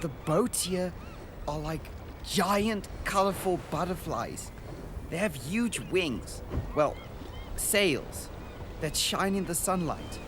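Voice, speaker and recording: A man acts a wonder-struck young boy on a journey in a radio drama. His voice is fairly high and very animated, earnest and descriptive as he records an audio diary. Clear outdoor noise of water and vehicles sits around him. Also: slow.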